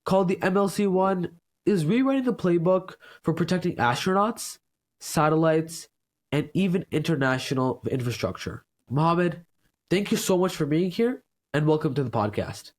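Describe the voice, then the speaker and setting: A man's voice: a little high, animated, moderate pace. A man hosting a podcast, reading a polished scripted intro and asking prepared interview questions. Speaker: podcast host.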